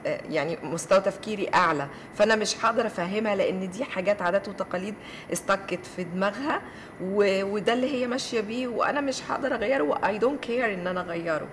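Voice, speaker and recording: Fast-talking middle-aged Egyptian mother. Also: mid-range voice, slight background noise. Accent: Egyptian Arabic. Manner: rapid, mixes English words into Arabic. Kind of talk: casual family conversation.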